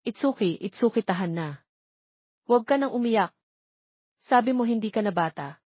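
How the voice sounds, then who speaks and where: A woman's voice: a little high, lively intonation, normal speed, husky, no room sound, muffled recording. A woman voicing a doting, supportive middle-aged father, tender and reassuring, in an audio love story. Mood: comforting.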